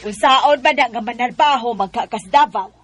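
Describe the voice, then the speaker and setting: A woman's voice: fairly high pitch, normal speed, fairly bright tone. An older Bisaya Filipino mother fusses over the household. Her talk is practical, questioning and matter-of-fact, in radio drama manner. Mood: concerned. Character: fussing, practical grandmother who minds her daughter's child.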